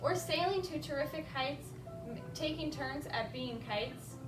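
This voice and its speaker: A woman reading a rhyming picture book aloud as a children's librarian, expressive and speaking directly to young listeners with little asides. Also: sits high, a little slow. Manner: expressive, addresses children directly with simple questions. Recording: roomy echo, distant mic, music behind.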